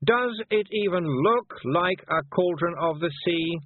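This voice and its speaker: Guy doing a snooty teen witch girl bossing everyone, high voice, swoops all over, impatient storybook character. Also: expressive, unhurried, no echo. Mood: exasperated scolding. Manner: scolding, condescending, emphatic. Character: bossy, haughty, impatient teenage girl witch leader. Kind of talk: audiobook character voice. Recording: muffled narrow-band phone-like sound.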